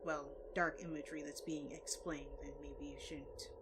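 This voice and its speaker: A woman's voice: sits low, little pitch movement, moderate pace, creaky, heavy background noise. A woman hosting a reaction video, talking in a casual, rambling way with asides.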